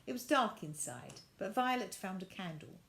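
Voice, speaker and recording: A woman reading a children's story aloud, with deliberate, expressive narration. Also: mid-range voice, vocal fry, slight background noise.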